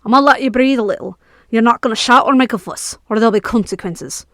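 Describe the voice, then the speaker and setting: A woman reading aloud, voicing a large stern male knight who gives gruff, sinister commands. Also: fairly high pitch, fast talker, fairly bright tone, no echo, studio quality, pitched above the performer's own voice, natural voice. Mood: menacing.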